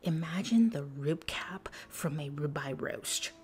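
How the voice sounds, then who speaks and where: Low, whispering woman food vlogger. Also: slow pace, soft background music. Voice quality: soft, breathy whisper. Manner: calm, descriptive, enthusiastic about food. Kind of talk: whispered food review voice-over.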